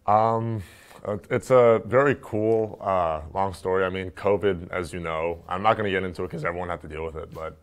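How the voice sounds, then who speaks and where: A young man with a low voice talks easily in an interview, filling gaps with "um" and "you know" as he thinks aloud. He grew up in Turkey and speaks as an athlete, recorded on a lapel mic in an indoor room. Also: a little fast, smooth. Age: young adult. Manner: relaxed, chatty, frequent fillers. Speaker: basketball player. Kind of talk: casual interview answers. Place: indoor room with wood-panel wall.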